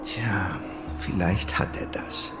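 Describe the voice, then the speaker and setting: Calculating state official, radio play, lowish voice, brisk controlled manner, music underneath. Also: male voice, steady tone. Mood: cold, calculating. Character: cold, calculating official plotting a rigged trial. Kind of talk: radio drama acting.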